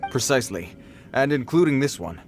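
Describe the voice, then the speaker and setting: Man voicing male patrol guard, formal, well-meaning, easily flustered, lively intonation, video game. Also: mid-range voice, a little fast, faint music behind, close to an everyday voice. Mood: stern warning. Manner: formal, stammering when flustered.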